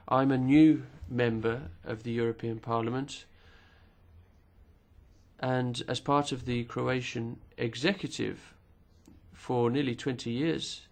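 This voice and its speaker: A man simultaneously interpreting speeches at a parliamentary committee hearing, formal and measured, pausing with occasional fillers. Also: mid-range voice, gravelly.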